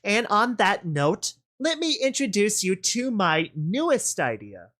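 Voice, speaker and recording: A menacing female pony boss from a dark story reading, acted out by a man. The voice is raised in pitch and pushed louder. She is blunt and commanding, with a sneering, sarcastic edge. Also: big pitch swings, unhurried, full character voice. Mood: devious glee. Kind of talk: dramatic audio fan-fiction reading.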